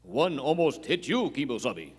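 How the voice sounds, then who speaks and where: A loyal, stoic tracker sidekick acted by a man in a stage radio Western. He talks in short, broken phrases with low grunts at a medium pitch. The theatre adds a long echo. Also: big pitch swings, normal speed, husky. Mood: alert. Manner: clipped broken English, terse, grunting hums. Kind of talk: live Western radio play acting. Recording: echoey theatre hall.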